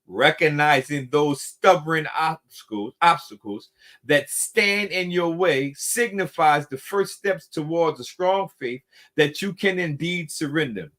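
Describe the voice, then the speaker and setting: A man's voice: fairly high pitch, fairly bright tone. A middle-aged man leading a recovery group, giving a motivational talk that repeats phrases for emphasis and calls the listeners y'all.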